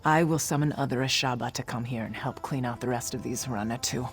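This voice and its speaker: A man's voice: medium pitch, a little fast, close to an everyday voice. A man acting an earnest warrior ally in a video game, speaking formally. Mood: relieved, urging. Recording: game music clearly behind voice.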